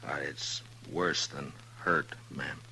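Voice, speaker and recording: Grave western marshal, bottom-register pitch, forceful edge, understated terse delivery, old radio drama, light hum. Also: male voice, fairly even intonation, vocal fry, heavily acted. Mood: grave, gentle. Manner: terse, low-key, halting when breaking bad news. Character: stoic, grave Old West marshal, adult man. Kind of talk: 1950s radio western acting. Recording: old radio recording with faint noise, hum.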